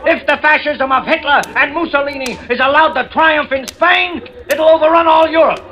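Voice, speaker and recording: An actor playing an impassioned street-corner political orator declaiming to rally volunteers in an old-time radio drama. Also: male voice, very high voice, fairly even intonation, moderate pace, sharp tone, dull audio. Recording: crowd noise behind vintage radio voice.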